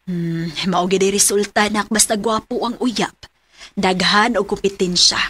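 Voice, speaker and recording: A woman's voice: mid-range voice, steady tone, measured pace, a bit bright, slightly rough. A woman playing a practical, matter-of-fact middle-aged village mother in a radio drama, with a Cebuano accent. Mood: curious, advising.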